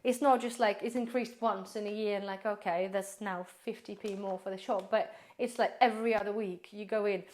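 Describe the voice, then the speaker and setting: A woman prepper vlogger chatting casually through a grocery haul, rambling, addressing viewers as guys, her voice slightly hoarse. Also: medium pitch, fairly even intonation, fairly quick, few pauses, a little mellow. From based in the UK.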